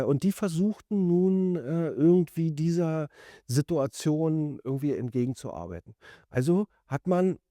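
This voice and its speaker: A man in his fifties from East Germany, reflecting and commenting in an interview, halting yet emphatic as he piles up rephrasings. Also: on the high side, creaky, warm tone, no echo, clean studio sound. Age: 50s.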